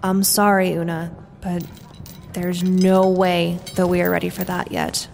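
A woman playing a resolute warrior with a dark past in a fantasy audio drama. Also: fairly low pitch, flat delivery, a little slow, vocal fry. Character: resolute female former dark-army warrior. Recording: long hall reverb, faint noise.

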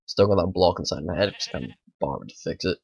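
Guy with a cold, low gravelly voice, mumbly let's play chatter. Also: lively intonation, fast, darkish tone, dry recording, clean studio sound. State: hoarse with a bad cold. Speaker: gaming channel host. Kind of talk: casual let's play commentary.

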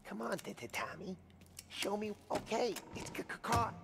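A man's voice: high voice, moderate pace, vocal fry, fairly bright tone, a little hiss or hum. An actor playing a nervous young male mechanic in a video game, stuttering on first sounds.

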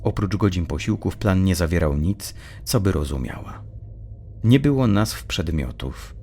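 A man narrating dark fiction from a script in a measured, suspenseful, dramatic storyteller's manner. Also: low voice, lively intonation.

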